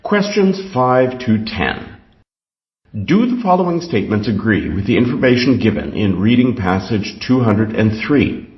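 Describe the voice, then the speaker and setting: A man reads scripted test instructions and questions aloud in a measured, deliberate manner. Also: mid-range voice, sing-song. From Canada. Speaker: exam passage reader. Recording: dull, echoey room recording.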